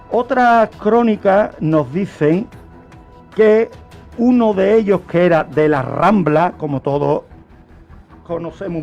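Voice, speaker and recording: A man's voice: high voice, a little mellow. A man with an accent from Spain explains a crime story on the radio in a didactic way, posing rhetorical questions. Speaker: true-crime contributor on a radio programme. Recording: music playing under the voice. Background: music.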